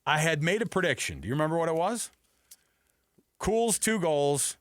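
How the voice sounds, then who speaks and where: A man hosting a sports radio show, talking casually in an animated, enthusiastic, conversational way. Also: on the high side, normal speed, dry recording, clean studio sound.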